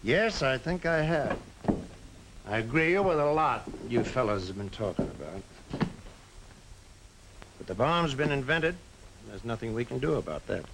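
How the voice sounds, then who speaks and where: An actor playing a sober, principled small-town man in a 1950s film drama, speaking earnestly and deliberately on an old soundtrack. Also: mid-range voice, very animated, creaky, background noise. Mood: grave, earnest.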